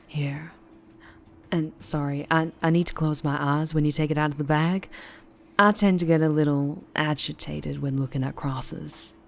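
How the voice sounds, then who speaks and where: A woman with a Southern US accent playing a flirtatious, troubled, protective vampire in an intimate ASMR roleplay, speaking softly and haltingly. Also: sits low, steady tone, vocal fry. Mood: guarded, affectionate. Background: music.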